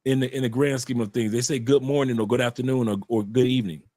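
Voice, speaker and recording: A man chatting in a casual interview, animated and repetitive, often restarting his sentences. His voice sits in a middling register. The recording is dry, with no room sound. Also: mid-range voice, quick pace, no room sound. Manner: animated storyteller, repeats phrases, frequent restarts. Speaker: guest, YouTube channel creator and author. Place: home in Colombia.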